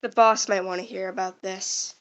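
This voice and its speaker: A woman's voice: medium pitch, fairly even intonation, moderate pace, a bit bright, close to an everyday voice. A woman playing a casual field geologist in an amateur skit, recorded on a dull microphone. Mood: casual, weary.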